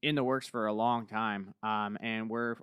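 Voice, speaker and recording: A male podcast host with a smooth, resonant voice, upbeat and ready with a joke. He sounds close and dry on a studio mic, with no room echo. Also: lowish voice, fairly even intonation, measured pace, fairly bright tone. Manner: upbeat, joking, fluent with fillers. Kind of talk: casual podcast hosting.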